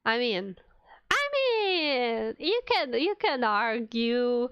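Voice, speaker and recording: High, smooth-voiced playful woman streamer. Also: sing-song, very slow, no room sound, muffled recording. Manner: playful, mock-indignant, giggly. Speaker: streamer, VTuber. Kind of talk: casual livestream chat with viewers.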